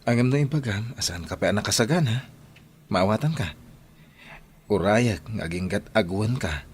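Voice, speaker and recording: A man's voice: lowish voice, animated, moderate pace, slight background noise, lightly acted. A man playing an earnest, tender suitor in an Ilocano radio drama.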